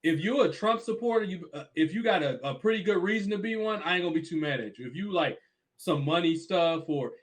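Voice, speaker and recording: A man's voice: high voice, animated, fairly quick. A man from Chicago hosting a casual livestream chat, talking bluntly and emphatically with a lot of profanity.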